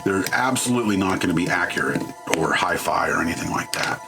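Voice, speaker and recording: Deep voiced dude walking thru taking apart old gear, casual n wry, bit crude, music behind. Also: male voice, lively intonation, quick pace, creaky. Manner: informal, mildly crude, wry and self-deprecating. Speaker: host of an electronics teardown channel. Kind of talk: casual hands-on tutorial narration.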